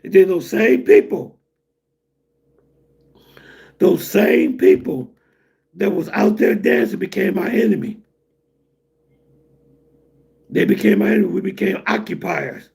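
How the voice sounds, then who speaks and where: A man's voice: high-pitched, measured pace, creaky, pauses often, darkish tone, husky. A middle-aged man from the United States hosting a casual livestream and rambling loosely to his viewers. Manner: loose, rambling, laughs at his own jokes. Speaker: livestream host.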